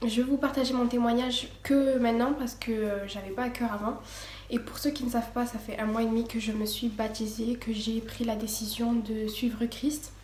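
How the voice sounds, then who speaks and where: A woman's voice: fairly high pitch, flat delivery, normal speed, darkish tone. Teenage girl, echoey room. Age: teens. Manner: hesitant, many fillers and restarts; partly reading from notes. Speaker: vlogger. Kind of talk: casual personal testimony. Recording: echoey room, faint background noise.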